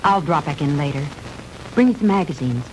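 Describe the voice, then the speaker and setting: Polite, earnest 1960s movie heroine. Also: female voice, deep voice, animated, brisk. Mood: gentle concern. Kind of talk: 1960s B-movie film acting. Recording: noisy old 1960s film soundtrack.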